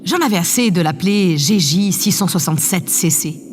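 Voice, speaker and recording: A woman's voice: fairly low pitch, moderate pace, natural voice. A woman playing a self-assured, effusive spaceship president in an audio drama, gracious and grand as she coaxes. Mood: self-satisfied. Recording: reverberant room, faint background noise.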